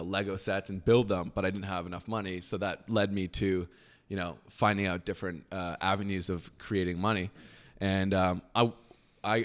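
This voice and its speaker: A young man chatting casually as a podcast guest, rambling with lots of fillers and trailing off quietly. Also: deep voice. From Canada. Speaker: podcast guest, business founder. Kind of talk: casual conversation.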